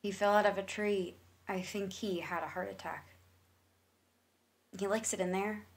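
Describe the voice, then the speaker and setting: An actress playing a guarded, grieving massage therapist in a stage drama, with a plainspoken delivery. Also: female voice, medium pitch, fairly even intonation, unhurried, vocal fry. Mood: matter-of-fact.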